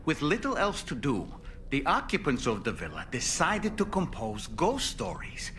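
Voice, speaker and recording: A man's voice: fairly high pitch, sharp tone, slight background noise, full character voice. A man acting as a theatrical, wry storyteller, delivering dramatic scripted narration in a video game.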